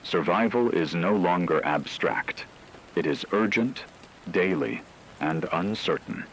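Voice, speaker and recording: A man's voice: deep voice, expressive, slow pace, a little hiss or hum, exaggerated voice, poor audio. A man playing a solemn radio anchor, reading a portentous scripted dramatic news report about a catastrophe. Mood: grave, solemn. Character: grave male radio anchor reporting nuclear war.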